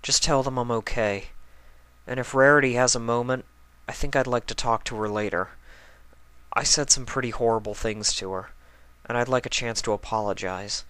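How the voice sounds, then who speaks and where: A man's voice: medium pitch, fairly even intonation, moderate pace, bright, forceful tone, smooth, no room sound, natural voice, low-quality recording. A man voicing a sad, earnest young dragon turned pony, subdued and apologetic, in a cartoon fan fiction reading.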